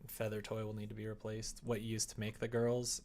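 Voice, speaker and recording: A man's voice: fairly low pitch, monotone, brisk, a little mellow, slight background noise. A man streaming live, chatting casually with viewers and rambling as he thinks aloud.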